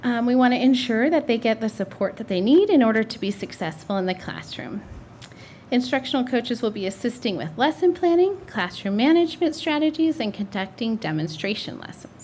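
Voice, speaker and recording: Woman giving a steady formal report. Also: on the high side, a little fast, slight background noise. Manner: steady, reads from notes with occasional ums. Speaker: district instructional services administrator. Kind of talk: formal report at a board meeting. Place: school district boardroom.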